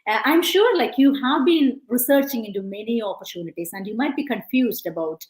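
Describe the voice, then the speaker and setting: A woman's voice: a little high, expressive, a little fast. A woman hosting a live-stream interview over a video call, chatty and full of "like" and "you know". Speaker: host. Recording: roomy echo.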